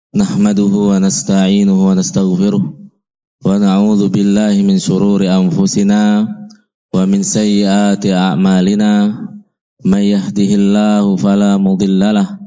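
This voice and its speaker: A man opens a sermon in a slow, even recitation, his low voice held almost flat. The tone is smooth and resonant, though the recording sounds dull and lo-fi. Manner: slow, steady, measured recitation. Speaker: preacher. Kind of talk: formal Arabic sermon opening.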